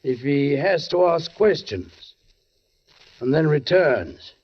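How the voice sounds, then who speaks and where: An actor playing a suspicious, deliberate police sergeant who narrates a murder case in a 1940s radio drama, with measured, pointed pauses. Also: male voice, fairly high pitch, big pitch swings, husky, full character voice. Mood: knowing, pointed. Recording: dull 1940s radio recording.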